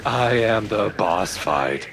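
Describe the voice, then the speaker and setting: A man's voice: lowish voice, lively intonation, slow, noisy background. A man giving casual, explanatory gameplay commentary in an informal way. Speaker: let's play commentator. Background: game music.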